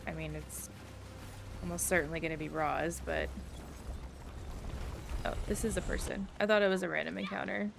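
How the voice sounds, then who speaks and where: Creaky-voiced woman streaming a game. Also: lowish voice, fairly even intonation, moderate pace, long pauses, noisy background. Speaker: gaming streamer. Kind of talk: casual game commentary and reading dialogue aloud.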